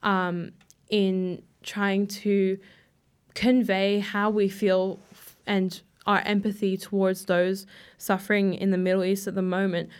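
A young adult woman speaks in a middle-range voice, earnest and thoughtful. She answers questions in a relaxed, conversational way. Her speech is dotted with frequent "you know" and "um" fillers. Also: mid-range voice, slow pace, clear, resonant tone. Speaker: guest. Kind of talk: casual interview answers.

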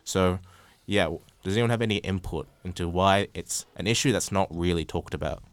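A young man hosting a podcast panel, speaking in a measured way with frequent fillers and everyday analogies. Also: low voice, clear, resonant tone, dry recording. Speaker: podcast host. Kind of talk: casual panel discussion.

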